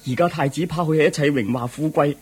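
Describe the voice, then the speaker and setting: An actor playing a devoted, anxious servant, pleading deferentially in a radio drama. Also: male voice, a little high, brisk, husky, faint music behind. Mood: pleading, troubled. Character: devoted anxious male servant and charioteer to a prince. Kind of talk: Cantonese radio drama acting.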